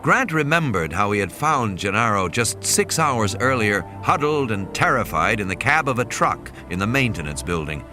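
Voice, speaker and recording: Animated male audiobook narrator over music. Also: on the low side, normal speed, few pauses. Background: background music.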